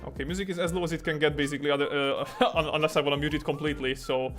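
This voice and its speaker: A man's voice: a little high, fairly even intonation, rapid-fire, a bit bright, faint music behind. A young man with a Hungarian accent, hosting a casual livestream and rambling with fillers and restarts. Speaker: streamer and artist.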